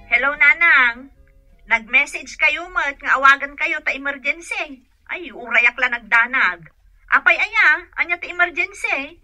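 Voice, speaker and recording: A woman's voice: sits high, measured pace, bright, forceful tone, soft background music, heavily acted. A woman playing a young, worried and exasperated daughter in a comedy radio drama, with an Ilocano accent.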